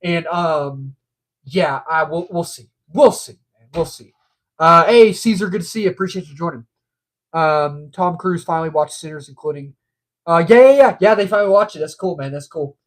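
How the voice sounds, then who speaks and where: A man's voice: on the high side, moderate pace. A man hosting a casual movie news livestream, stuffed up from allergies, rambling and repeating himself with frequent ums.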